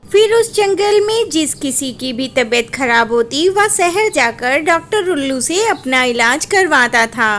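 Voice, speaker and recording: A woman narrating a children's cartoon story in an even storybook telling. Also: high-pitched, a little slow, few pauses.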